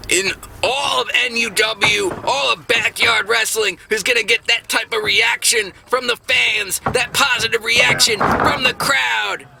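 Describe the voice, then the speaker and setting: A man giving excited, dramatic play-by-play wrestling commentary, emphatic and often shouting. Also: very high-pitched, unhurried, few pauses, over music. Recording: clearly noisy background.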